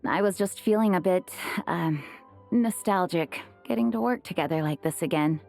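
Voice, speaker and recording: A woman voicing an earnest, dutiful female leader in a video game, formal and slightly high-strung. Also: moderate pace, vocal fry, darkish tone, faint music behind. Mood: bashful. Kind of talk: animated game dialogue.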